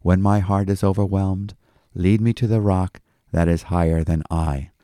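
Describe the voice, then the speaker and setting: A man speaking as a pastor, giving measured Bible commentary and reading scripture in a devotional style. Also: low voice, steady tone, warm tone, dry recording, studio quality. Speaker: pastor and host. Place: small office studio.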